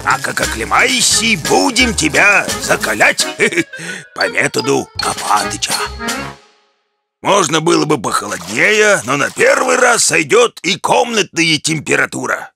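A man voicing a folksy, bossy older bear who gardens, in a children's cartoon, blustery and gravelly. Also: on the high side, sing-song, unhurried, exaggerated voice. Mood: jovial, bossy. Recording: music behind the voice.

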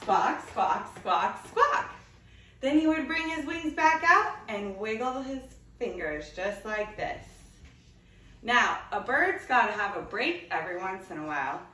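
A woman's voice: a little high, pushed and bright. A young woman coaching children through an exercise story, upbeat and sing-song as she counts out the moves. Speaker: kids' fitness coach. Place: bare indoor hallway. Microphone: camera across the room. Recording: echoey room, voice from a distance.

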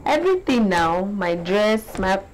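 A young woman from Nigeria with a Nigerian accent, talking to the camera in a casual, animated vlog from a small bright room. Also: mid-range voice, unhurried, slight background noise, rough recording. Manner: animated, chatty, gestures a lot. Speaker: beauty vlogger.

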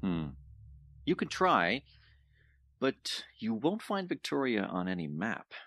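An actor playing an aloof male professor in an audio drama, speaking in a deliberate, dry, teacherly way. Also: lowish voice, sing-song, a bit bright, exaggerated voice. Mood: measured, teacherly. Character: aloof, measured male professor and former researcher.

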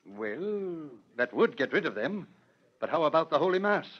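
An actor playing a worried medieval official in a 1940s radio dramatisation. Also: male voice, fairly high pitch, very animated, normal speed, slightly rough. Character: worried medieval official fretting over plague. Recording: dull old radio broadcast.

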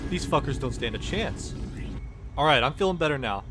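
Cocky, foul-mouthed man over loud music. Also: fairly high pitch, expressive, measured pace. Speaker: parody dub performer voicing a game protagonist. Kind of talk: comedic character commentary over game footage.

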